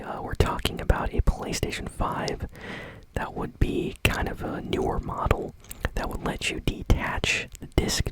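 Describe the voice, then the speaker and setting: A woman hosting gaming news in an ASMR style, talking softly, informally and without hurry. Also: low-quality recording. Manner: soft, unhurried, informal. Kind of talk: whispered ASMR news commentary.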